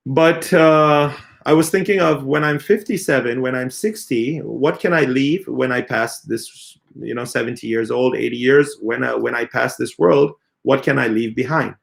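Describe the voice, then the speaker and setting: A man in his thirties, a company CEO, giving a halting, earnest unscripted answer full of filler sounds. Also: medium pitch, vocal fry. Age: 30s. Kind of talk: unscripted spoken answer in an AMA.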